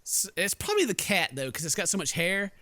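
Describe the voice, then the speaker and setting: Male let's play host, slightly high bright voice, jokey rambling commentary and read-aloud narration. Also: huge pitch swings, brisk, no echo.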